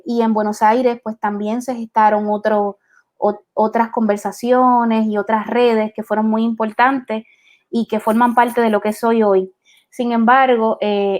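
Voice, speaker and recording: A woman, an artist answering interview questions in a thoughtful way, with many pauses and restarts. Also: on the high side, darkish tone. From Puerto Rico. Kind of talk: reflective conversation.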